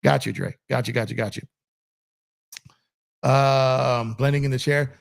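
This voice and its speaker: A man's voice: medium pitch, fairly even intonation, a little slow, creaky. A man hosting a casual livestream, chatting loosely and reading viewer comments, rambling with many fillers and repeats. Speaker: livestream host. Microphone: streaming setup in a gaming chair.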